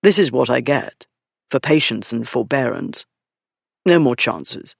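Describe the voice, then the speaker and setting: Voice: medium pitch, measured pace, gravelly, husky, no echo, exaggerated voice. A narrator playing a lazily menacing duke. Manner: calm, lazy, quietly menacing. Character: mild, lazy, dangerous young duke.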